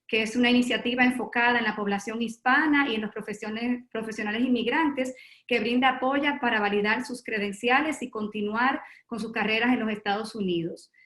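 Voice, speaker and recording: A woman introduces a guest at a formal webinar on a video call, reading her bio with filler pauses. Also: a little high, fairly even intonation, a bit bright, roomy sound. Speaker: host and moderator of a webinar.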